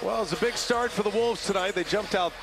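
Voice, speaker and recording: A man's voice: high-pitched, fairly quick, gravelly, over music. A man giving live play-by-play commentary on a basketball game as its announcer. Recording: very noisy relayed game broadcast. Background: loud arena ambience, bouncing ball.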